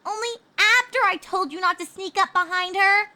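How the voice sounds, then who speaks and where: A woman's voice: extremely high pitch, normal speed, bright, forceful tone, voice pushed up, exaggerated voice. A woman voicing a sweet, easily annoyed young unicorn filly in a story reading, grumbling and indignant.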